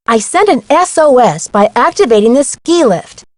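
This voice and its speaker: A plucky young female sleuth from a video game. Her voice sits fairly high and moves with animated inflection. She sounds curious and capable, recorded dry. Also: moderate pace, dry recording. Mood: casual.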